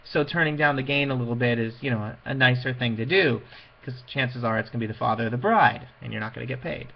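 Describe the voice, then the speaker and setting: A man's voice: mid-range voice, fast talker, creaky, muffled recording. A man giving an informal lecture to an audience, speaking in a conversational, emphatic way and acting out little asides. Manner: conversational, emphatic, illustrates points with quoted asides. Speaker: lecturer on PA systems for wedding officiants.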